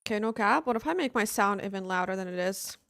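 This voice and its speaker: A woman's voice: mid-range voice, expressive, fast talker, a bit bright, dry recording, clean studio sound. A young woman from Finland, chatting casually with her live-stream chat while she plays games. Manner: chatty, answers chat offhandedly.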